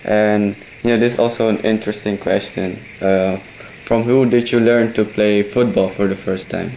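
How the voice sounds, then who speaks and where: A male interviewer and native Indonesian speaker with a lowish voice, asking questions haltingly and rephrasing them as he goes. Faint background hiss sits under his voice. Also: fairly even intonation, darkish tone, rough recording. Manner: hesitant, many "okay" and "yeah" fillers, rephrases questions. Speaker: interviewer, host. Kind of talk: casual interview.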